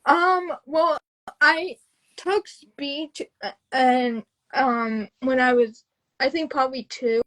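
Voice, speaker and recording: A woman in her twenties, a podcast guest telling her own story casually, often halting and repeating phrases. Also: high voice, steady tone, a bit bright, poor audio. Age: 20s.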